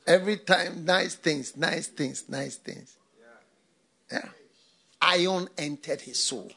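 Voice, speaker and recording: A man's voice: a little high, slow pace, gravelly, pushed and bright, husky. An older man from Ghana, a pastor, preaching a sermon with repeated phrases and rhetorical questions.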